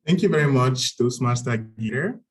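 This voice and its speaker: A man's voice: medium pitch, normal speed. A man in his twenties from Nigeria, speaking English with a Nigerian accent as a second language, giving a structured, prepared talk as a panel speaker. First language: Igbo. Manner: structured, steady, uses signpost words.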